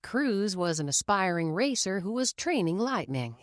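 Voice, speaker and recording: A woman reading a children's picture book aloud in a slow, expressive storytelling manner. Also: mid-range voice, dry recording, studio quality.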